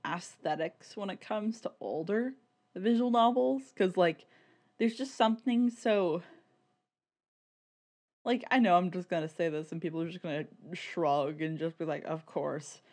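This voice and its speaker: Woman, lowish pitch, informal podcast chat, filler-heavy with "like", sweary. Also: measured pace, a little mellow. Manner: informal, sweary, peppers speech with "like". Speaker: podcast co-host.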